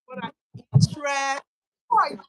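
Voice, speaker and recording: A woman's voice: sits high, brisk, sharp tone. A woman hosting an interview over a video call, enthusiastic and welcoming toward her guest. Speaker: host.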